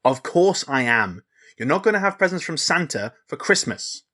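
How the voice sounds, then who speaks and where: A man's voice: fairly high pitch, sing-song, normal speed, bright, forceful tone, heavily acted. An actor playing an earnest, sentimental young man in an audio drama, speaking sincerely like a lonely true believer. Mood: indignant. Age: young adult. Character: earnest, sentimental grown man who still believes in Santa. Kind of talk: naturalistic audio drama dialogue.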